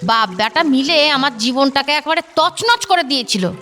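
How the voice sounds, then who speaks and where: A woman's voice: high voice, sing-song, normal speed, pushed and bright, soft background music, exaggerated voice. A woman acting an embittered, protective aunt in a radio drama, with a firm, admonishing delivery. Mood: bitter, firm. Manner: emphatic, admonishing.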